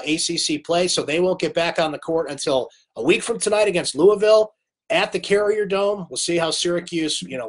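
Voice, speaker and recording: A man hosting a live sports recap stream, casual and opinionated, answering viewer comments while losing his voice to a cold. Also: on the high side. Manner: chatty, opinionated, reads viewer comments aloud.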